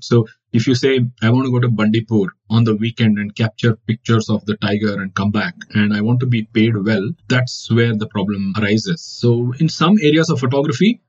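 A man's voice: lowish voice, darkish tone, slightly rough. A man from India whose first language is Kannada, giving reflective interview answers as a wildlife photographer with many fillers. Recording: dull lo-fi audio.

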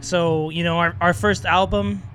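A man, a band's bass player, talking casually and rambling, swearing often. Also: steady tone, unhurried, a bit bright, soft background music. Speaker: band bassist, guest. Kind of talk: casual interview.

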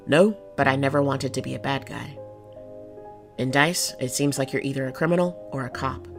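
A woman's voice: extremely low pitch, fairly even intonation, moderate pace, music in the background. A woman playing a jaded but kind-hearted city cop in an audio drama, plain-spoken and reassuring. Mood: weary, reassuring.

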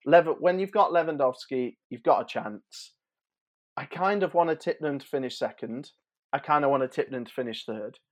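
Give me a man in his thirties with a medium-pitched voice, chatting informally like a sports podcaster. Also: normal speed. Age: 30s. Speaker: sports betting podcast host. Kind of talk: casual football preview chat.

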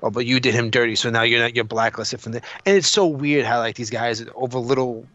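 A man's voice: mid-range voice, brisk, no room sound. Dull-sounding New man, chatting animatedly. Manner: animated retelling, repeats himself, acts out quotes. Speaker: podcast co-host. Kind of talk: casual opinionated panel chat. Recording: dull lo-fi audio.

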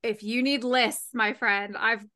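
A woman hosting a casual podcast chat, bubbly and quick to laugh. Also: a little high, steady tone, bright, forceful tone. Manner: bubbly, laughs often, talks over others. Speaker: podcast host.

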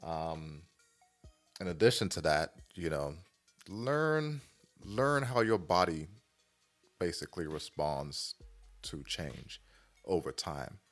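A man with a very low voice gives his opinions in loose, conversational banter as a podcast co-host. He talks in colloquial phrases and tends to repeat a point to drive it home. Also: sing-song, slow, pauses often, fairly bright tone, faint music behind. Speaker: podcast co-host giving advice.

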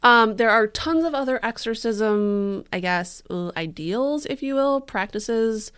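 A woman's voice: on the high side, animated, measured pace, a bit bright, clean tone. Bubbly young woman, joking podcast host. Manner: bubbly, joking, heavy use of "like". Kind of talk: casual true crime podcast chat.

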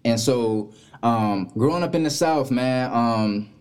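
A man hosting a podcast, from Florida, giving a hype, drawn-out intro and asking relaxed questions. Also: mid-range voice, clean tone. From Florida, USA. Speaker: podcast host. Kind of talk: casual interview.

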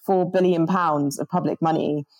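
A young woman with a low, mellow voice talks earnestly about her organizing over a video call. She restarts her sentences often as her thoughts run ahead, and the sound is dry and close. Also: fairly even intonation, normal speed, no echo. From born in Jamaica, grew up in the UK. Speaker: climate organizer guest.

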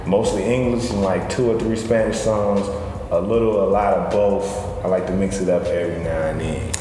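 Young man, lowish voice, playful, jokey, echoey room, faint music. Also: steady tone, normal speed, dark tone, a little hiss or hum. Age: young adult. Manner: playful, joking, laughs easily. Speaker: podcast host. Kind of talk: casual chat, reading out quiz questions.

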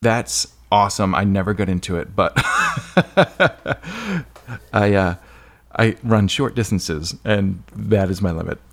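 A man's voice: fairly low pitch, fairly even intonation, unhurried, vocal fry, a bit bright. A man hosting a podcast interview in a casual, informal way, thinking aloud with some hesitation. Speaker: podcast host interviewing an author.

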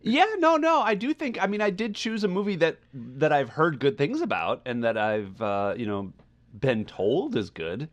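A man's voice: on the high side, animated, moderate pace. A man hosting a podcast in a casual, chatty style, rambling as he goes. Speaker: podcast host.

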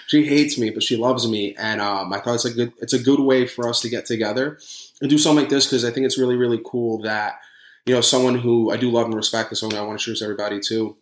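New York male podcast host, brash cursing banter, rapid talk, echoey room. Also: mid-range voice, fairly even intonation. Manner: brash, profane, fast rambling banter. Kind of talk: casual podcast chat.

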